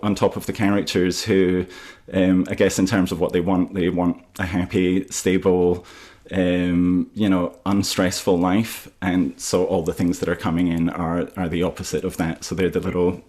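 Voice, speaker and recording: A Scottish man, an author, talking about his writing in a festival interview, halting with many fillers and restarts. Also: low-pitched, a little mellow. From Scotland.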